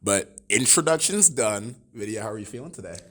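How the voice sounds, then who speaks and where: A young male podcast host in college, with a somewhat low voice. He runs through announcements, then jokes and teases his guest in an upbeat, casual way. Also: expressive, a little fast, a bit bright, husky. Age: young adult. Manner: joking, upbeat, teasing the guest.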